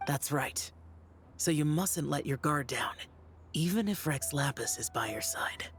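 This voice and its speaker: Low-voiced, serious guardian. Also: female voice, animated, a little slow, slight background noise. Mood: cautioning. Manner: serious, terse. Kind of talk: video game voice acting.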